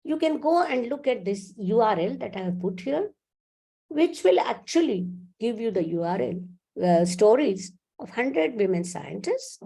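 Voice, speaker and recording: Older woman from India, seventies, physicist at a lectern, mellow tone with a very animated pitch, explanatory and self-correcting. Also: a little slow, vocal fry, husky. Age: 70s. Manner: lively, explanatory, restarts sentences. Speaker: physicist giving a lecture. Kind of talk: academic talk with slides.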